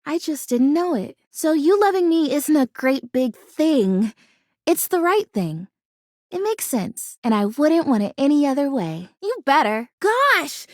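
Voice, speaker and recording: Plucky female plant character, extremely high voice, lively swooping intonation, earnest, folksy, video game acting. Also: dry recording, clean studio sound. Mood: tender, content, then playful, teasing. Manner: quaint, folksy exclamations, earnest and gushing. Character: sweet, plucky, excitable female talking houseplant.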